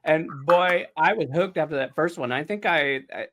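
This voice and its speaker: Male panelist, slightly high voice, casual, animated storyteller. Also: moderate pace. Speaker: panelist on a behind-the-scenes show. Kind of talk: casual chat.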